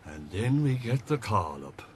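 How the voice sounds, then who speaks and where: An actor playing an older, avuncular Irish army captain, blunt and worldly, in a radio drama. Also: male voice, lowish voice, very animated, a little slow, a little hiss or hum. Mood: wry.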